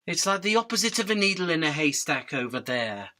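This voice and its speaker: An actor as a chatty, pedantic fly, bright-voiced. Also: medium pitch, big pitch swings, brisk. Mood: defensive. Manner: wry, pedantic, philosophical rambling. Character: chatty, pedantic, resigned young housefly. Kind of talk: comic radio drama acting.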